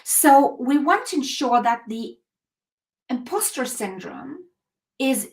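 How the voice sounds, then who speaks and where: A woman's voice: high-pitched, lively intonation, takes it slowly, rough recording. Earnest woman coaching a live call. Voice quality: clears throat often. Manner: earnest, emphatic motivational talk with rhetorical questions. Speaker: host of a coaching webinar.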